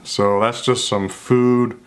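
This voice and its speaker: A man's voice: medium pitch, steady tone, clear, resonant tone, soft background music. A man who stutters, with repeated sounds and restarts, talking earnestly and reflectively to camera in a vlog. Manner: measured, earnest, self-reflective. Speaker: vlogger.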